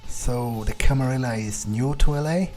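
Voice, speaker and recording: A man's voice: medium pitch, normal speed, a little mellow. A man role-playing as a vampire in a game playthrough, thinking aloud in a hesitant, sometimes profane way. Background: faint game music.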